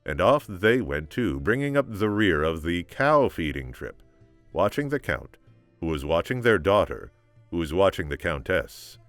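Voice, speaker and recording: A man reading an audiobook, telling the story in a measured, dramatic way. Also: low voice, sing-song, no room sound, soft background music. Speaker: audiobook reader. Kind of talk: scripted story narration with informal asides.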